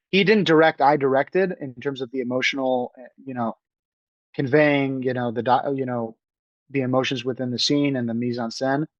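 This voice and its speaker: Young man, director guest, halting delivery, dry dull recording. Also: mid-range voice, no echo. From New York. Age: young adult. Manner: halting, many restarts and fillers. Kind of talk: casual interview talk.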